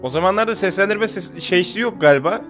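Higher-voiced young man reacting over music. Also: fast. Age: 20s. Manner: slangy, jokey chat. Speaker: reaction video host. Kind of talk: casual reaction commentary. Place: small indoor room with brick-patterned wall.

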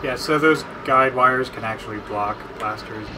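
A man's voice: mid-range voice, normal speed, noisy background. A man commenting casually on a video game with friends, dry and full of references. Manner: dry, reference-heavy joking. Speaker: let's play commentator. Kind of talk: casual gaming banter.

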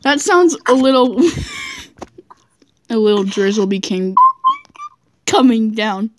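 A woman's voice: fairly high pitch, wildly swooping pitch, slow, noisy background. A woman doing a casual, goofy read-aloud of fan fiction, giggly and often stumbling over her words. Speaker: amateur reader of fan fiction.

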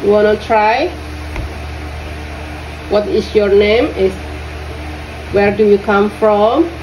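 A woman from Indonesia with an Indonesian accent teaching English to young students in an online lesson. She speaks haltingly, repeats her questions and fills her pauses with "uh". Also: medium pitch, fairly even intonation, a little mellow. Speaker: English tutor. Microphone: lesson audio recorded on a phone. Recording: roomy phone recording with faint noise.

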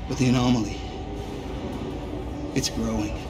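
Grave, halting scientist, acted by a man, echoey, loud music. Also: medium pitch, steady tone, natural voice. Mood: grim despair. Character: weary male scientist, anxious and desperate. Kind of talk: cinematic video game acting.

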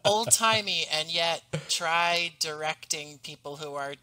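A man in his thirties, a guest talking about his audio drama in an animated, enthusiastic way over a headset on a video call from home. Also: a little high, measured pace, smooth. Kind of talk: casual interview. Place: home room, indoors.

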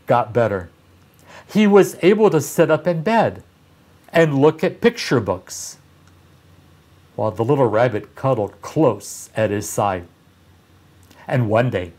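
A man in his sixties reading a children's story aloud like a teacher, expressively, with deliberate dramatic pauses. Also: medium pitch, a little mellow.

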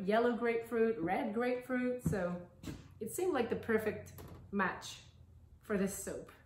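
A woman's voice: a little high, roomy sound, slight background noise. A woman in her thirties narrating her craft work in a casual, upbeat, appreciative way. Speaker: soap maker presenting own videos. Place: small indoor workshop kitchen.

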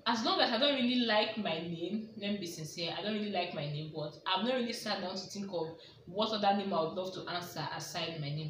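A woman's voice: lowish voice, a bit bright, a little hiss or hum. Young Nigerian woman in an echoey room. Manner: thinks aloud, repeating questions to herself. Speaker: vlogger. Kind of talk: casual question-and-answer vlog. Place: small indoor room with a plain wall. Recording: echoey room, mic at a distance.

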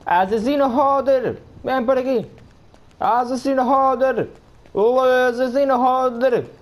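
A man's voice: high voice, very animated, moderate pace, a little mellow. A man putting on the voice of a crude, boastful, foul-mouthed rich foreigner with broken grammar, commentating a game in character. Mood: brash. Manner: swears constantly, broken grammar, brash and rude.

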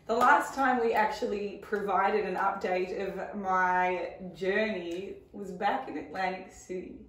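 A woman's voice: medium pitch, fairly even intonation, a little slow, a bit bright, slight background noise. A woman of about thirty who is sick and losing her voice, chatting casually as a vlog host from a living room sofa. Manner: giggly, chatty. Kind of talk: casual chat. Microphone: far camera. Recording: echoey room from far off.

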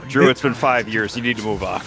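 A man's voice: fairly low pitch, a little fast, vocal fry, fairly bright tone. A man chatting casually while gaming, with a wry, bantering way of talking. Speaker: gamer. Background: game music.

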